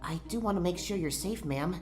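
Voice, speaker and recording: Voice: medium pitch, a little fast, over music, natural voice. An actor voicing a timid, anxious young male fennec fox sidekick in a comic dub, stammering, meek and hesitant. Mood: soft, devoted.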